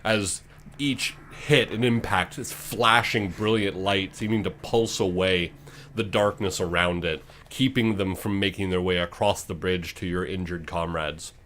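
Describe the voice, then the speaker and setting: A man's voice: deep voice, steady tone, a little slow, a bit bright, a little hiss or hum. A man working as game master, improvising dramatic, descriptive narration for a fantasy tabletop game.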